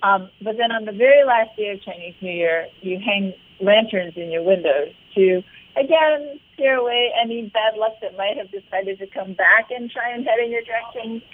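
A woman talks as a guest in a radio interview, explaining in a conversational manner. Her pitch is middling, and the sound is narrow and thin, like a phone line. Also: normal speed. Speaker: arts organisation leader, interview guest.

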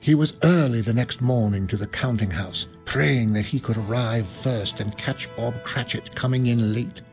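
Man narrator, measured storytelling, radio drama, faint music. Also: medium pitch. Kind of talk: scripted narration.

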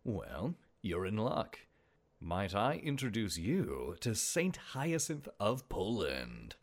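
A man hosting a casual podcast, hoarse today, chatty and self-deprecating with playful asides. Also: fairly low pitch, sing-song, takes it slowly. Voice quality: gravelly. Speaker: podcast host. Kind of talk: casual solo podcast about saints.

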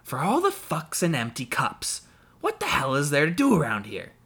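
A man's voice: medium pitch, lively intonation, normal speed. A man voicing a bitter, drunken uncle who rants and snarls at his family. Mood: ranting. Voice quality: loud, snarling, rising to shouts. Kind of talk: audiobook character voice.

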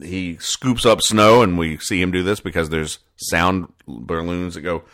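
Wry man with a lowish voice. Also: measured pace, a bit bright. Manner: mock-earnest, wry explaining of jokes. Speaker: podcast host. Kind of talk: casual comedic retelling of newspaper comics.

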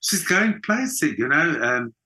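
Emphatic South African man, bright voice. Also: a little high, very animated, takes it slowly. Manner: emphatic, opinionated. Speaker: interview guest, theater actor and singer. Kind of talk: conversational interview.

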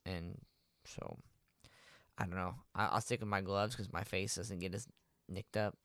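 A man with a low voice chats casually as a podcast co-host. His phrasing is laid-back and slangy, with easy swearing. He is recorded dry, with no audible room. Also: steady tone, a little slow, fairly bright tone, smooth, no echo. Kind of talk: casual conversational podcast chat.

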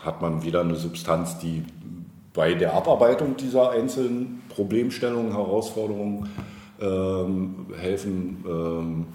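A man's voice: deep voice, mellow. A man speaking as a local politician in a conversational interview, explaining in long, winding, hesitant sentences. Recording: roomy echo, faint background noise.

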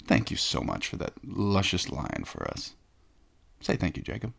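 A man's voice: deep voice, wildly swooping pitch, moderate pace, gravelly, fairly bright tone, husky. A man hosting a comedic podcast, reading fan mail in a pompous, self-important, mock-indignant way. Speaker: podcast host. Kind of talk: comedic scripted podcast banter.

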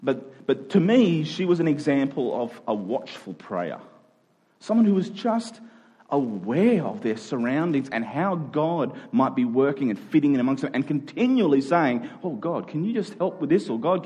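A man's voice: on the high side, very animated, moderate pace, warm tone, husky, highs cut off. A man preaching a conversational sermon, earnest, repeating key phrases for emphasis.

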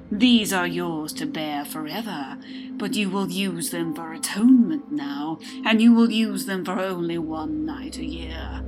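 A woman's voice: low voice, rough recording. A woman voicing a wrathful ancient god. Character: wrathful horned winged ancient male god. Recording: music underneath.

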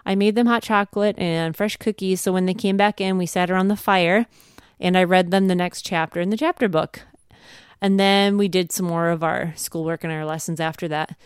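A woman's voice: lowish voice, steady tone, normal speed, clean tone, no echo, clean studio sound. A woman, a podcast co-host, chatting casually and encouragingly as she shares advice and anecdotes from home life. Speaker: podcast co-host, homeschooling mother.

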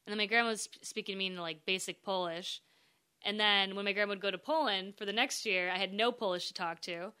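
A woman's voice: medium pitch, fairly even intonation, fast, sharp tone, smooth. An American woman co-hosting a podcast, chatting casually and laughing often. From United States. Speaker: podcast co-host. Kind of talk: casual chat.

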